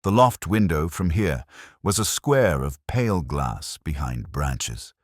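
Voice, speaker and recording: A man's voice: very deep voice, lively intonation, slow pace, no room sound, studio quality. A man reading a scripted literary mystery as its narrator.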